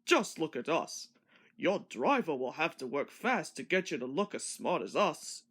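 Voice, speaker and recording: A woman's voice: sits low, big pitch swings, measured pace, fairly bright tone, breathy edge, exaggerated voice. Woman putting on a haughty, boastful big engine. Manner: dismissive, boastful. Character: proud, haughty big male steam engine.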